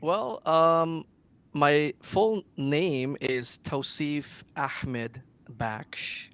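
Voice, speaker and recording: Slow, halting man from Guyana. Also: on the high side, fairly bright tone, smooth. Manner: halting, many ums and uhs. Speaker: guest singer. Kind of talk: casual interview.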